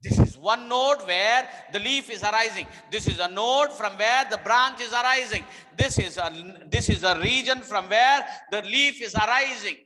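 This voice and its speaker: A man with an Indian English accent teaching biology in a bright, high voice. He repeats each point and keeps checking on his students. His voice rings out with a long reverb around it. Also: moderate pace, few pauses. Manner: repetitive, questioning students, mixes in Hindi. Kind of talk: online botany lesson.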